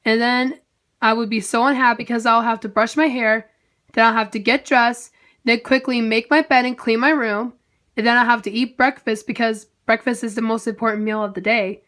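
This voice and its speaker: Young woman vlogging w a stuffy nasal cold, high bright voice, chatty and upbeat. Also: fairly even intonation, normal speed. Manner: chatty, upbeat, addresses viewers as guys. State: congested with a head cold, nasal. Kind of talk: casual talk to camera.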